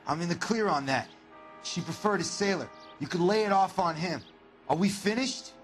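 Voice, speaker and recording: An actor playing a surly, defensive ex-convict under questioning, curt and slangy, in a video game crime drama. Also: male voice, high-pitched, animated, moderate pace, faint music behind.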